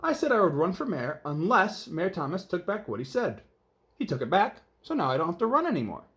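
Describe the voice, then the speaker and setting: A man's voice: high-pitched, very animated, brisk, a bit bright, pitched above the performer's own voice, exaggerated voice. A man voicing a cheerful, literal-minded woman in a children's storybook, earnest and emphatic. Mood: matter-of-fact. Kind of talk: children's storybook read-aloud.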